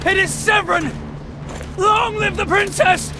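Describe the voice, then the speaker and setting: An actor playing a fervent soldier, loudly declaiming heroic battle cries in a video game. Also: male voice, very high-pitched, fairly even intonation, unhurried, over loud music. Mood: fervent battle cry. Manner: loud, declamatory, zealous. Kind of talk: dramatic video game cutscene acting.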